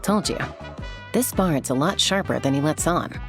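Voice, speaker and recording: Low-pitched young woman, cool, blunt, dry, video game, faint music behind. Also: animated, moderate pace. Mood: wry. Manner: dry, matter-of-fact.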